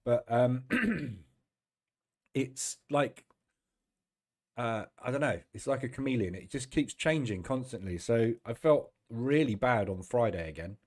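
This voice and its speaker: A middle-aged man with a deep, throaty, hoarse voice from a cold, hosting a casual live trading stream and chatting between fillers. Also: darkish tone. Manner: chatty, greets viewers by name, many fillers.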